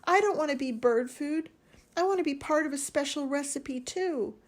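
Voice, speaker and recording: A woman's voice: high voice, normal speed, pitched above the performer's own voice, natural voice. Slice, a woman voicing a meek lonely bread boy. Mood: hurt. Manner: meek, tearful, self-pitying. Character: timid lonely slice of bread, young male.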